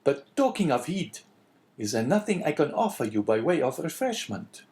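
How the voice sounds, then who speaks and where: A man's voice: a little high, very animated, normal speed, darkish tone, voice pushed up. A man voicing an obsequious, talkative French innkeeper who is eager to please, in a storybook reading. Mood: obliging. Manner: fawning, effusive, talkative.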